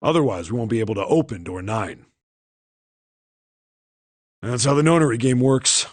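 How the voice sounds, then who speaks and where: An actor voicing a big, gruff older man in a video game, blunt and teasing, with a rough, husky voice. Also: mid-range voice, lively intonation, normal speed, darkish tone, dry recording, close to an everyday voice. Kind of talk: English video game voice acting.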